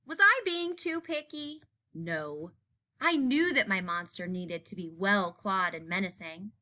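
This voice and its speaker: A woman reading a children's picture book aloud in an expressive narrating style. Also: mid-range voice, unhurried. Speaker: story reader.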